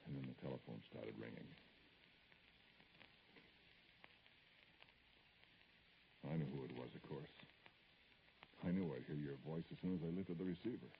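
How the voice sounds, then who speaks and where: Real deep voiced uneasy cranky guy confiding his dread, old radio horror, muffled recording. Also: creaky, slight background noise. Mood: haunted. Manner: halting, brooding, confiding in the listener. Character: troubled, irritable male committee speechmaker narrating his growing dread. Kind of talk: old-time radio horror acting, first-person narration.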